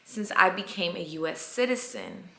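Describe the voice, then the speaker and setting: A woman's voice: on the low side, huge pitch swings, normal speed, vocal fry, a bit bright, echoey room. A Colombian-born woman in her twenties, telling a candid storytime to camera, chatty, repeating words and dropping into whispered asides. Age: 20s. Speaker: vlogger. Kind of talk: casual storytime chat to camera.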